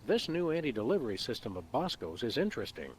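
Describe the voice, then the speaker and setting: An actor playing a calm, deadpan dog detective in a video game, talking in a dry, wry way. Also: male voice, mid-range voice, fast talker, a little hiss or hum, natural voice.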